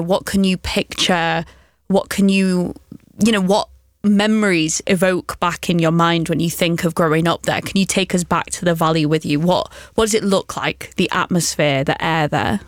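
Low-voiced woman hosting an interview. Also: dry recording, studio quality. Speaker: podcast or radio host. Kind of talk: conversational interviewing.

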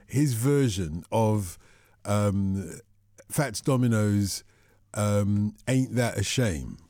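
Warm, jokey male radio host. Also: lowish voice, animated, drawn-out words, no echo, studio quality. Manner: jokey, chatty, chuckles often. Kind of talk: late-night radio phone-in chat. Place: radio studio.

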